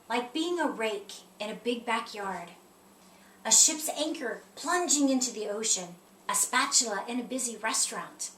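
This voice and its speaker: A woman reading a children's picture book aloud in an expressive storytelling style. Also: a little high. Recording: roomy echo, distant mic, faint noise.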